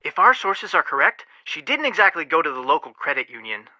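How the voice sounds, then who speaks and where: Young man, skeptical level-headed detective, slightly high, lively intonation, video game dialogue. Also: a little fast, no echo, highs cut off, exaggerated voice. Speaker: video game character voice actor. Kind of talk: scripted game phone dialogue.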